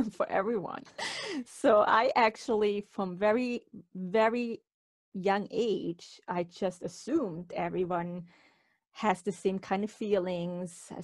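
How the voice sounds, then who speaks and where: A woman's voice: medium pitch, no echo. Woman podcast host with a dry, close sound. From Switzerland. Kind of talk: conversational podcast talk.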